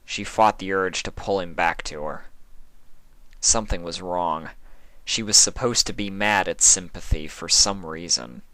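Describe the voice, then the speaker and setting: A narrator giving a measured, expressive, dramatic reading of a fan fiction story. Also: low voice, no room sound.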